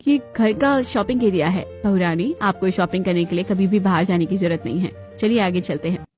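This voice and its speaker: A woman's voice: on the low side, fairly quick, a little mellow, soft background music, close to an everyday voice. A woman playing a polite, deferential palace servant who explains things formally in a Hindi moral-story cartoon. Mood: courteous.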